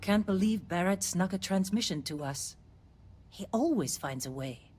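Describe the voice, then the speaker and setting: A woman's voice: medium pitch, animated, moderate pace, a little mellow, a little hiss or hum, close to an everyday voice. An actress playing an exasperated but fond female starship crew companion in a video game. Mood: fond exasperation.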